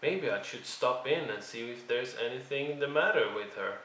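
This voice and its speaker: A man's voice: mid-range voice, expressive, moderate pace, a bit bright, lightly acted. A man voicing a stern, determined village huntsman in a storybook, with a folksy, accusing manner. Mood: puzzled concern. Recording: roomy, distant microphone.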